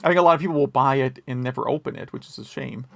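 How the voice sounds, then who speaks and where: A man's voice: mid-range voice, rapid-fire, creaky, fairly bright tone, no echo. An American man co-hosting a podcast in casual chat, wry and opinionated. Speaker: podcast co-host.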